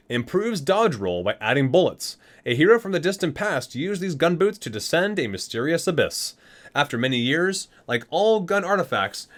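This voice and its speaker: A man hosting an ASMR video, reading guidebook entries aloud and sometimes stumbling and correcting himself. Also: on the high side.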